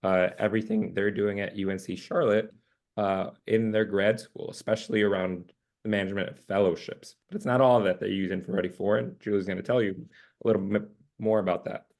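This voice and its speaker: A man hosting a webinar interview with a husky voice today, joking loosely and filling pauses with "uh". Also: on the low side, fairly even intonation, darkish tone. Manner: jokey, loose, filler-heavy. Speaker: interview host.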